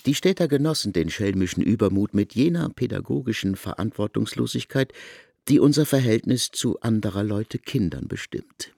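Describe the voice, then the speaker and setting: A man narrating a literary work for radio in a measured, formal storytelling manner. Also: lowish voice, expressive, creaky, dark tone. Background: faint music.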